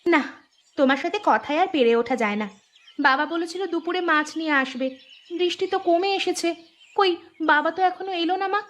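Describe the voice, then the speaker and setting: A woman voicing a caring young village daughter who coaxes and gently nags in an animated story. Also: extremely high pitch, brisk. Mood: worried, chiding. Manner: earnest, coaxing.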